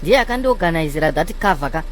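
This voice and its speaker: An actor playing a fussy, high-voiced, fast-talking car owner. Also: male voice, animated, a little hiss or hum, close to an everyday voice. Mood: fussy, pleading. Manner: nagging, lecturing, pleading. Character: fussy, demanding man, nervous car owner lending his prized car. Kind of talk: comedy cartoon dialogue in Shona mixed with English.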